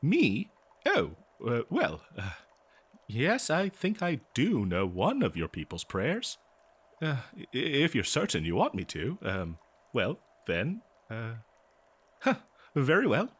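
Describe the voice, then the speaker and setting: A man playing an eager, kindly scholar in an audio roleplay, speaking politely and haltingly to a listener. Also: mid-range voice, wildly swooping pitch, breathy edge, heavily acted. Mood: hesitant, respectful. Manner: halting, flustered, polite, exclaiming. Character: eager, scholarly, kindly male researcher of a lost species. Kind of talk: scripted audio roleplay, one-sided dialogue.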